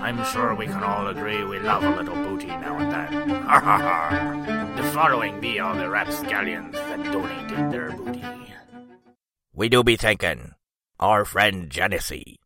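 A man's voice: sits low, dry recording, studio quality, heavily acted. A man voicing a boisterous pirate who thanks donors with a hearty, theatrical growl. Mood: rousing. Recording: loud fiddle music underneath.

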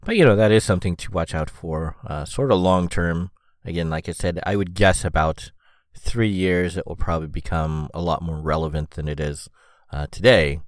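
A man hosting a solo podcast, talking in a rambling, halting way full of fillers, with a sniffly cold and a slightly rough throat. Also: deep voice, no echo, studio quality. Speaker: podcast host. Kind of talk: casual solo podcast monologue.

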